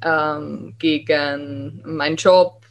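A woman's voice: low-pitched, fairly even intonation, drawn-out words, creaky, low-quality recording. Woman with regional German accent, candid, emphatic, motivating podcast guest. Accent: regional German dialect. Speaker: guest, Instagram creator. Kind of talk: casual podcast conversation.

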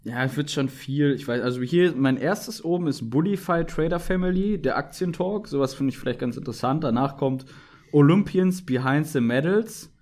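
A man with a somewhat high voice talks loosely and jokingly, tossing in dry little asides. The delivery is relaxed conversation, not a script. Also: moderate pace. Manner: joking, reads items aloud with wry asides. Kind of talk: casual chat.

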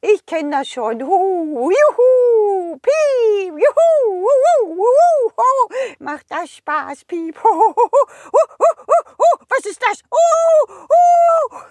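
A woman voicing a small, excitable, silly male bird with chirping interjections in a children's audio story. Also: very high voice, slow, no room sound, voice pushed up, full character voice. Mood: thrilled. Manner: chirping interjections, giddy exclamations.

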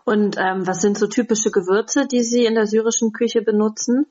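A woman hosting a cooking interview podcast, reading a scripted intro and then asking slow questions with fillers. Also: on the high side, steady tone, darkish tone, muffled recording. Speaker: podcast host.

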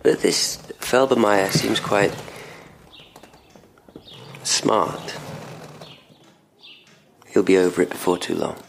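Male investigator, audio drama. Low register, guarded and hesitant, earnest, slight background noise. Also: takes it slowly, gravelly, long pauses, heavily acted. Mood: uneasy, guarded. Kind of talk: full-cast audio drama acting.

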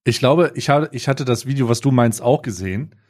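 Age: adult. Role: podcast co-host. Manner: unhurried questions, easy laughter, middle pitch. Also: male voice, a little mellow. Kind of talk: casual chat.

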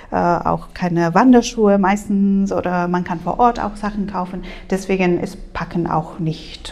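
A woman from Spain speaks German, answering as an exchange program advisor. Her voice has a gravelly creak, and she hesitates often, filling pauses with filler sounds and making small slips in grammar. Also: mid-range voice, a little mellow. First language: Spanish. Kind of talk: interview answers. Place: language school room.

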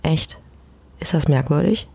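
Husky, low-key woman hosting a podcast. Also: measured pace, mellow, dry recording. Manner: self-deprecating, informal, chatty. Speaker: podcast host. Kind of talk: casual solo podcast talk. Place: at home, alone. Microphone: close personal microphone.

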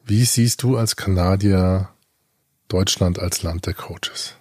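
Very slow, deep-voiced male interviewer. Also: a little mellow, dry recording, clean studio sound. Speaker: interviewer and podcaster. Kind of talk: interview questions.

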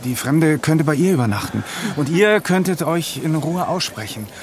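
Calm, placating man over background noise. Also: fairly high pitch. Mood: conciliatory. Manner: measured, conciliatory. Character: Vincent, calm placating male friend taking charge. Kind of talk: naturalistic audio drama acting.

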